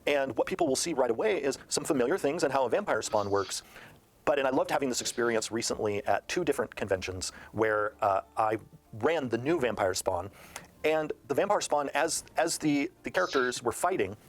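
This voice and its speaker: A man speaking as a game designer in an interview, explaining in detail with halting emphasis. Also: mid-range voice, expressive.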